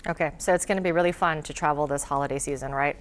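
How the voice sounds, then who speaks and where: A woman's voice: low-pitched, fairly even intonation, racing. A woman anchoring a live news interview, asking questions in a conversational way.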